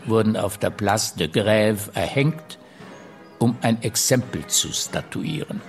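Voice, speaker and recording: Slow, grave French statesman. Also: lowish voice. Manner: measured, deliberate, articulate delivery. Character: grave, courtly French finance minister writing a secret letter. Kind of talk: radio drama monologue, letter read aloud. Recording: studio radio drama with music. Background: music.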